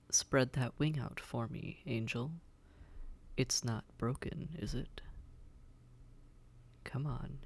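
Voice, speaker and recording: A man playing a suave, teasing demon prince of Hell in an intimate audio roleplay, with a gravelly, unhurried, coaxing delivery that often drops toward a whisper. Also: mid-range voice.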